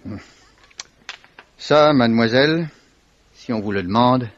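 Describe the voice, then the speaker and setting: An actor playing a worldly, evasive Swiss salesman in a 1950s French radio mystery, sardonic, unhurried and given to repeating himself. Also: medium pitch, lightly acted. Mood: dismissive, amused. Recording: dull, dry vintage radio recording.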